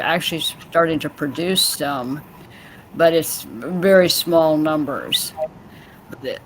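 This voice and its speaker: A woman's voice: low voice, a bit bright. A woman joining a group video chat, explaining in detail with fillers and self-corrections while her voice turns scratchy. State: voice going scratchy. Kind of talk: casual chat.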